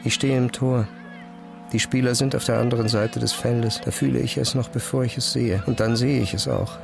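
A man reading first-person literary narration in an introspective, measured manner. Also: mid-range voice, steady tone, gravelly. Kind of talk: literary scripted narration, audio drama. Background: music.

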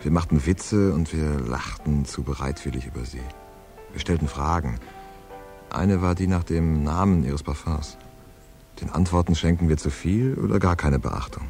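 An actor playing a laconic, world-weary hard-boiled man in a radio crime play, understated and deadpan. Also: very deep voice, normal speed, soft background music. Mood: deadpan, reflective. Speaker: narrator and lead character in a radio crime play. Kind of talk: scripted hard-boiled first-person narration and dialogue.

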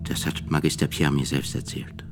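Ein Schauspieler spielt in einem Hörspiel einen mittelalterlichen Priester, der ernst und gemessen als Augenzeuge aussagt. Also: male voice, rumbling low, steady tone, moderate pace, creaky, music in the background. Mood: earnest, subdued. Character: earnest medieval priest testifying about a burning he witnessed. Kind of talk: radio play testimony.

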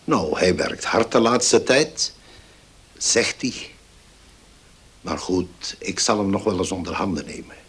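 An older rich dad in a radio drama, low husky voice, indulgent, deliberate. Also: male voice, lively intonation, fairly bright tone, exaggerated voice. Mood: wry. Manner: deliberate, weighing his words. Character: older well-off father, indulgent, gruffly dignified. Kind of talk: Dutch radio play acting.

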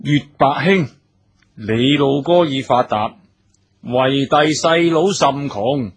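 An actor playing an anxious, easily flustered wealthy husband in a Cantonese radio drama, agitated and given to sighing and stammering. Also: male voice, medium pitch, takes it slowly, breathy edge. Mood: indignant.

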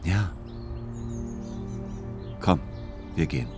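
A devoted grown son narrating a radio drama, in a low-pitched voice over clearly audible music. His delivery is measured and gentle, with patient, melancholy restraint. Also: animated, full character voice. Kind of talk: literary narration and radio play acting.

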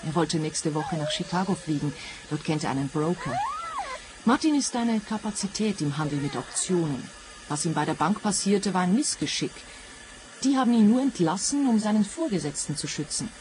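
A woman's voice: lowish voice, lively intonation, moderate pace, background noise, close to an everyday voice. An actress playing a composed young widowed mother who speaks matter-of-factly in a radio play.

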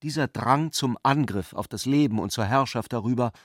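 A man reading literary prose as a scripted narrator, in a measured, deliberate way. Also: mid-range voice, no room sound, studio quality.

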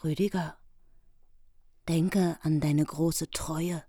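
Eine Schauspielerin spielt in einem deutschen Hörspiel eine trauernde, rachsüchtige Königin, feierlich und eindringlich. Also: female voice, low-pitched, fairly even intonation, drawn-out words, warm tone, dry recording, full character voice. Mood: insistent. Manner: solemn, formal, insistent. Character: vengeful, grieving queen demanding sworn service. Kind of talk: German radio play of a medieval epic.

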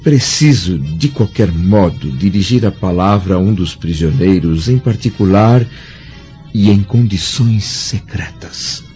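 An actor playing an aged, blind Roman senator, weary but dignified, speaking formally and solemnly in a radio drama. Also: male voice, low voice, lively intonation, a little slow, warm tone. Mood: earnest, resolute. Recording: dull lo-fi radio drama with music.

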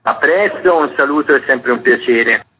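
A man's voice: mid-range voice, normal speed, lo-fi sound, over music. A man speaking as a festival's artistic director, explaining things in a radio interview.

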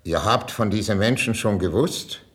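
A man's voice: fairly low pitch, normal speed, a bit bright, lightly acted. An actor playing a bitter, wounded ageing king in a classical 1950s radio drama, declamatory and imperious. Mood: imperious, alarmed. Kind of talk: classical 1950s German stage-style radio acting.

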